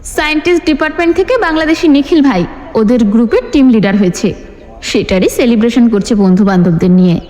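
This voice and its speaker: A woman's voice: fairly high pitch, expressive, a little fast, darkish tone, natural voice. A woman voicing a chatty, admiring, teasing young female student in an audio story. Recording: long hall-like reverb.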